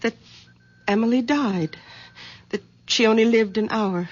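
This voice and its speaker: An actress playing a protective grown daughter, earnest and pleading, in an old-time radio drama. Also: female voice, fairly high pitch, a little slow. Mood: probing. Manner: earnest, pleading, gently insistent. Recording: dull lo-fi old radio recording.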